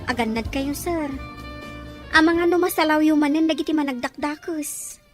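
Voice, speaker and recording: A woman's voice: sits high, normal speed, soft background music, lightly acted. A woman with an Ilocano accent acting a dutiful, worried young student in a radio drama. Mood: concerned, respectful.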